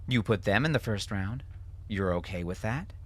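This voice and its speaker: A male narrator plays a bitter wife and mother in an audiobook. The delivery is sharp and sardonic, set in a low register with a bright, forceful edge, and carries wounded anguish. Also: expressive, moderate pace. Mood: scornful. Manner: sharp, sardonic, sometimes near whispering.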